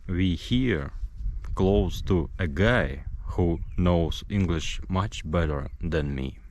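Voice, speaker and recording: A man's voice: sits low, slow, darkish tone, slight background noise. A man, hosting an interview in broken English and asking pointed questions. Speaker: interviewer and channel host. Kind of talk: casual interview.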